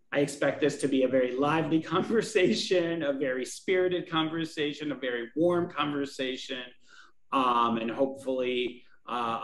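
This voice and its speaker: Guy moderating a video panel, mid pitch, roomy echo, asks long winding questions. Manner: friendly, rambling questions with restarts.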